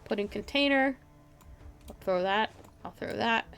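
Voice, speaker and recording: A woman's voice: mid-range voice, unhurried, fairly bright tone, faint music behind. A woman giving casual live gameplay commentary in a playful way, talking to herself and her chat. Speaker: game streamer, host.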